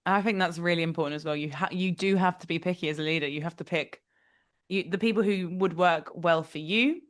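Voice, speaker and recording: Low-voiced woman hosting a podcast. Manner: affirming, asks questions, quick laughs. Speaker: podcast host. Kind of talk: interview hosting.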